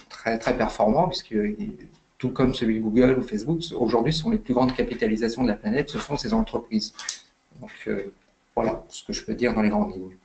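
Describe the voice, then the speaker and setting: Gravelly-voiced man explaining in a webinar. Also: medium pitch, darkish tone, lo-fi sound. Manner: hesitant, many fillers and restarts. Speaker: invited expert speaker.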